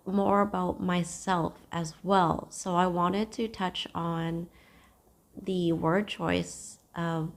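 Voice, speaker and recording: A young woman sits at home and chats to camera for her knitting podcast. She is hoarse from a cold, and her low voice has a gravelly, fry-heavy edge. She talks gently and takes her time, pausing as she thinks. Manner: gentle and unhurried, with thoughtful pauses. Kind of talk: casual chat to camera. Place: at home, seated.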